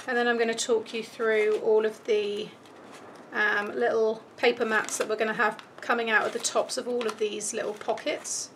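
British lady doing a chatty craft how-to, highish voice starting to go, noisy background with little clicks. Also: level tone, moderate pace, a bit bright. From United Kingdom. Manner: informal, chatty, thinking aloud. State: starting to lose voice. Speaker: craft tutorial host. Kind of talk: casual step-by-step craft tutorial. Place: indoors at a craft table.

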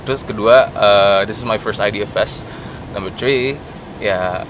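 A man's voice: lowish voice, a little slow, noisy background. A young man in his twenties from Indonesia, vlogging in a joking, nervous, rambling way, switching between Indonesian and English, filmed on a handheld camera at arm's length. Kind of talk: casual vlog chat mixing Indonesian and English. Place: inside a car, then a conference hall.

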